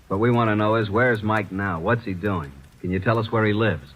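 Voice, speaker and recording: An actor playing a brisk, businesslike male detective's assistant in a 1940s radio play, on a noisy old radio recording. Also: lowish voice, a bit bright.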